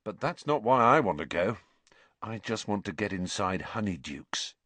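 A man voicing an excitable, blunt teenage schoolboy wizard in a storybook reading, with eager, dramatic delivery. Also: on the low side, normal speed, no echo. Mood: off-hand.

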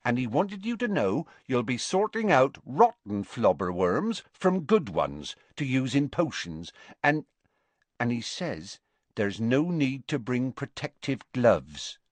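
Guy doing a young schoolgirl in an audiobook, pitched up, bit hesitant, relaying a message. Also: big pitch swings, takes it slowly, no room sound. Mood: hesitant, apologetic. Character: young schoolgirl teammate delivering an awkward message.

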